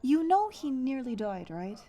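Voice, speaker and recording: A woman playing a brisk, wry, guarded young outcast guide in a fantasy audio drama. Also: high-pitched, big pitch swings, mellow. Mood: wry banter. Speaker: voice actor. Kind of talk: fantasy audio roleplay acting.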